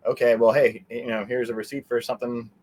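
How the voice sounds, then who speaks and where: Male interview guest, mid-range voice, casual and digressive, thinking out loud. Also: medium pitch, flat delivery, fairly quick. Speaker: interview guest, convention organizer.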